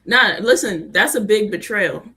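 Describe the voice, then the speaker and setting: A woman in a casual discussion, reasoning aloud in a firm, measured way. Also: medium pitch, lively intonation, sharp tone, slightly rough.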